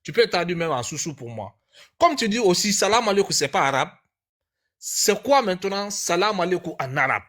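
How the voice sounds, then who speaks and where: A man's voice: sits high, animated, normal speed, fairly bright tone. A man hosting a live discussion, pressing his guests with emphatic, repeated questions.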